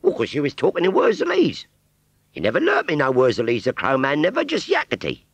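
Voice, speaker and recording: A man's voice: fairly high pitch, huge pitch swings, a little fast, husky, no echo, voice pushed up, exaggerated voice. A man voicing a giggly young female scarecrow with a Cockney accent in a storybook reading. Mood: cheeky. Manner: cheeky, giggly. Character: giggly young female plastic scarecrow, a former shop model.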